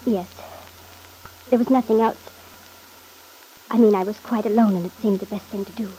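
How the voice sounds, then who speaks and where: An actress in a classic radio soap opera playing a fragile, lonely wife testifying hesitantly, on a noisy old recording. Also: female voice, medium pitch, steady tone, mellow, slightly rough. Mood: strained, subdued. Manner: hesitant, halting.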